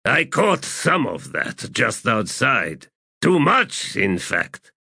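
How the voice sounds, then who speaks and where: A man's voice: medium pitch, big pitch swings, takes it slowly, vocal fry, bright, forceful tone, breathy edge, dry recording, pitched above the performer's own voice, full character voice. Male reader doing a drawling, sardonic priest, weary and tormented, dry sound.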